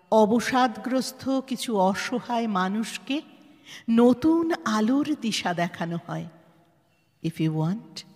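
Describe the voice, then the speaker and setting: A woman acting as a resolute survivor in a radio-style play, with a Bengali accent and an animated, swooping delivery. Her voice is bright yet husky, and she speaks with emphatic, dramatic pauses in a long reverb. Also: medium pitch. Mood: gentle, steady. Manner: dramatic, emphatic, deliberate pauses, switches into English. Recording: reverberant hall effect under music.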